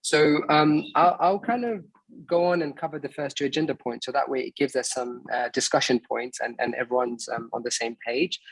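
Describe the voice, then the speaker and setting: A man in his early thirties with a somewhat husky voice, recorded close and dry. He talks through an online meeting in a loose, casual way, stopping often to restart his sentences. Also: medium pitch, fairly even intonation, normal speed, no echo, low-quality recording. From Bangladesh, raised in England. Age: 30s. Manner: hesitant, many fillers and restarts. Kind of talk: casual presentation on a video call.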